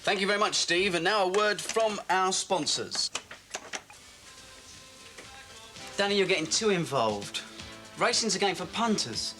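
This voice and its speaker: A breezy male radio DJ, with quick teasing patter and a lively, bouncing voice. He sits a little high for a man. Music plays behind him. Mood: warning. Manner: chatty, teasing on-air patter. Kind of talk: 1980 TV drama acting.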